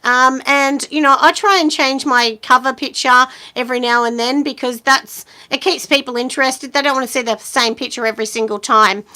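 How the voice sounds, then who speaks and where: Husky, bright-voiced woman. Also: high-pitched, fairly even intonation, moderate pace, hardly any pauses. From Australia. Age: 40s. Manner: chatty and encouraging, many ums and you-knows. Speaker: small business owner hosting a live chat. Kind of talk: casual live-stream chat.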